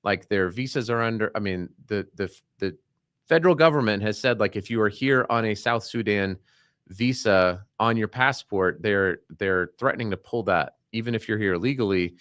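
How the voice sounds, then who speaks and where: A man's voice: fairly low pitch, moderate pace, fairly bright tone, dry recording, studio quality. A man co-hosting a podcast, talking in a loose, rambling way with frequent restarts. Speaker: podcast co-host. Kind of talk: casual podcast chat.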